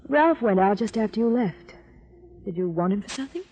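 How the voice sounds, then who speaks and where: An actress playing a polite, composed wealthy wife with a brisk manner in a 1940s radio drama. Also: female voice, medium pitch, lively intonation, a little mellow, a little hiss or hum, close to an everyday voice.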